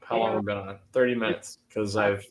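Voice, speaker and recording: A man hosting a casual car livestream, rambling and restarting as he talks in quick bursts. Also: lowish voice, level tone. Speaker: livestream host.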